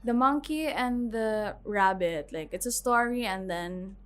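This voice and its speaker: A woman's voice: mid-range voice, unhurried, a bit bright. A young woman from the Philippines, a student whose first language is Filipino, chatting casually in an interview with lots of "like" fillers. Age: young adult. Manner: chatty, with many "like" fillers and light laughs. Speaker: guest student.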